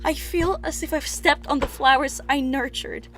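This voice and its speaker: A woman's voice: high voice, fairly even intonation, normal speed, faint music behind. A woman playing an anguished, guilt-ridden young princess in a poetic stage tragedy, intense and rhetorical. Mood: grieving. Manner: intense, rhetorical, swinging between outcry and hush. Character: anguished young Cretan princess racked with guilt over brother's death.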